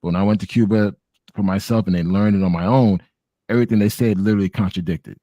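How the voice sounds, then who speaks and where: A man's voice: lowish voice, fairly even intonation, fast, mellow, dry recording. A man from the southern United States talking casually as a panel host, encouraging and addressing listeners directly. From Miami, United States. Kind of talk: casual chat.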